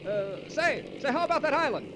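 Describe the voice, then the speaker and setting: An actor playing an anxious, polite English aerial observer with a hesitant stammer, in an old radio drama on a noisy recording. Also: male voice, extremely high pitch, big pitch swings, normal speed. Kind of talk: 1940s radio drama acting. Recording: noisy old radio transcription disc, engine drone.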